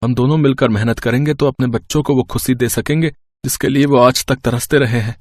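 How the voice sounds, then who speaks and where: A man playing a gentle, ailing poor husband and father in a story, earnest and reassuring. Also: mid-range voice, fairly even intonation, a little fast, no room sound, studio quality, natural voice. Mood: earnest, hopeful.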